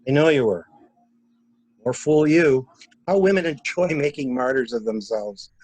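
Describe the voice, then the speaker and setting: A man's voice: mid-range voice, expressive, measured pace, a little mellow, poor audio. A man playing a cynical, plain-spoken adviser in an amateur mystery play, blunt and wry. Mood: blunt, advising. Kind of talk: amateur murder mystery radio play acting.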